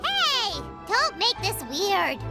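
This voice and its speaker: A woman's voice: very high voice, very animated, extremely slow, heavily acted. A woman voicing a tiny, childlike fairy companion in a video game, cutesy and exclamatory. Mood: excited. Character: tiny chirpy childlike fairy companion, talks in third person. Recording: loud background music.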